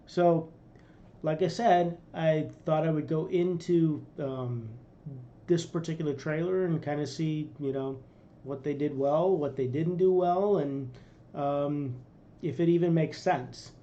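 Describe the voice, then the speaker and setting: Rambling middle-aged man, mellow voice. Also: on the high side, a little slow, highs cut off. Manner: rambling, with many fillers and restarts. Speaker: reaction video host. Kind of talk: casual commentary. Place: small room indoors.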